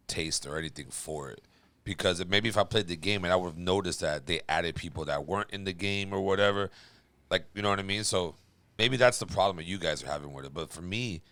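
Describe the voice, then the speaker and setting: A man's voice: sits low, a bit bright, no room sound. A man co-hosting a podcast, chatting in an informal, slangy way. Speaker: podcast co-host. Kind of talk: casual group chat.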